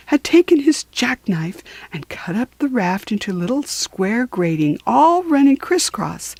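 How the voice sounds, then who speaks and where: A woman reads a children's story at a slow, deliberate pace. Her pitch swoops expressively for theatrical emphasis, and the recording is close and dry. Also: darkish tone, no room sound.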